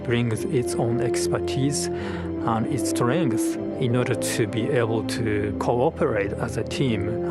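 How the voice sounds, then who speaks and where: A man with a Japanese accent, a native Japanese speaker, speaking calmly and reflectively in a recorded profile interview. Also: mid-range voice. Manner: calm, measured and reflective. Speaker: astronaut. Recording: music bed underneath. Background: music.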